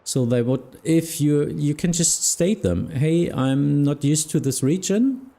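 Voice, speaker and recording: A man from Germany with a German accent, speaking English as a second language, chatting casually with viewers on a live stream, often pausing to search for words and restarting sentences. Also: fairly high pitch, animated, gravelly, warm tone. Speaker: flight sim streamer and air traffic control mentor.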